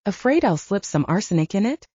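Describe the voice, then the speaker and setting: A woman narrator plays a spirited young heroine in an audiobook romance. She is frank and teasing, with an animated melody and a slightly husky edge. The sound is a little dull. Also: mid-range voice, brisk, no echo. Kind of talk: audiobook character dialogue.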